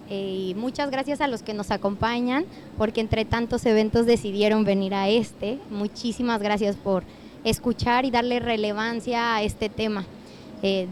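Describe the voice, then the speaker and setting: Higher-voiced, grateful woman panelist. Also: a little hiss or hum. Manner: grateful, warm thanks. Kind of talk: panel remarks. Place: conference venue.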